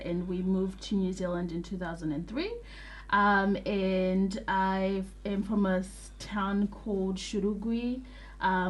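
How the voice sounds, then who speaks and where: A woman's voice: mid-range voice, rough recording. Friendly young woman from Zimbabwe. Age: young adult. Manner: warm, friendly, thoughtful storytelling with fillers. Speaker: guest. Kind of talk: interview answers.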